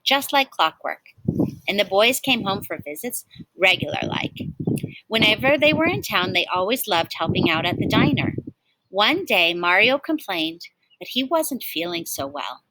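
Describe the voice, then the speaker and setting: A woman reads a picture book aloud as a teacher would for young children. Her voice is bright and forward, recorded dry with hardly any room sound. She tells the story expressively and speaks directly to her listeners. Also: fairly low pitch, no room sound, poor audio.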